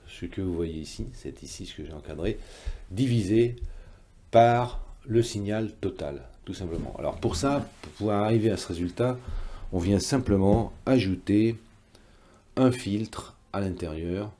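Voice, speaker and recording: A man's voice: fairly low pitch, warm tone. A man giving a technical tutorial in a careful, didactic way, rephrasing step by step as he teaches. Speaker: electronics teacher, presenter.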